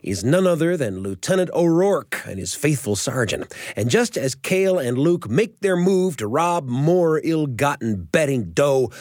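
A man hosting a radio storytelling show, narrating from a script in an animated way with dramatic emphasis. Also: on the high side, measured pace, no room sound, studio quality.

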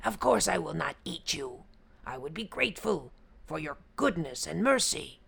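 A woman voicing a fierce, hungry, deceitful male tiger with a snarling, drawn-out menace, in a folk tale. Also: low-pitched, big pitch swings, creaky, a bit bright, husky, full character voice. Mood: smooth promising. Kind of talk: oral folk tale storytelling.

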